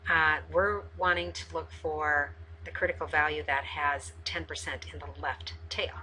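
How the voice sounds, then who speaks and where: A woman with a low-pitched, bright and forceful voice teaches as in a screencast lesson. She explains methodically, one step after another, speaking straight to students. There is faint noise in the recording. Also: low-quality recording. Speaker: math teacher. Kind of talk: instructional screencast walkthrough.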